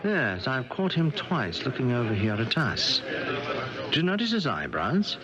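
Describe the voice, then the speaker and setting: An actor playing a nervous, cautious secretary, hesitant and fussy, in a radio drama. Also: male voice, medium pitch, huge pitch swings, a little slow. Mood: wary. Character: anxious, cautious male secretary to a rich knight. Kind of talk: 1970s radio drama acting. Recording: dull radio recording with crowd chatter.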